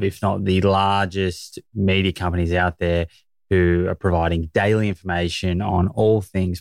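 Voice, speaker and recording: A man hosting a podcast, his voice deep and gravelly with plenty of fry. He asks questions with enthusiasm, often restarting his phrases. The recording is close and dry. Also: dry recording. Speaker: podcast host. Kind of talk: interview questions.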